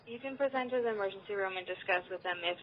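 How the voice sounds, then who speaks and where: A woman's voice: mid-range voice, racing. A woman working as a nurse practitioner, answering on a phone call in a formal, guarded and procedural manner without committing herself. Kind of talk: formal clinical phone conversation. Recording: muffled lo-fi phone call recording.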